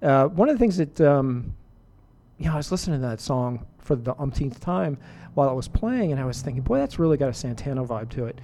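A middle-aged man hosting a music radio show, chatting casually between songs in a relaxed, rambling way with many fillers and restarts. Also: medium pitch, fairly quick, slight background noise.

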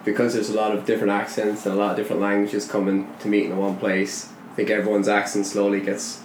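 Young irish guy, accent kinda softened, easygoing storytelling, roomy echo. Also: lowish voice, quick pace. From Ireland. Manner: relaxed storytelling, light laughs. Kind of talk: casual podcast chat. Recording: echoey room with faint noise.

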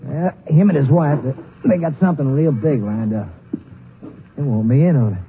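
An American actor playing an eager small-time crook in a 1950s radio drama, with slangy, uneducated, insistent speech. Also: male voice, on the high side, moderate pace, close to an everyday voice. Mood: conspiratorial. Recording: tinny, noisy 1950s radio recording.

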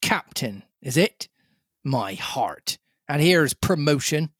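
A man's voice: fairly high pitch, sing-song, takes it slowly, a bit bright, husky, no room sound, heavily acted. Guy doing a stern old-time ship captain, bossy n scornful, clipped orders, storybook reading, really dry sound. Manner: clipped, commanding, disdainful. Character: brisk, stern, haughty ship's captain, commanding and scornful.

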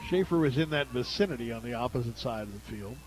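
An American man doing live radio play-by-play commentary of a high school football game. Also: animated, quick pace, low-quality recording. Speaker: play-by-play sports announcer.

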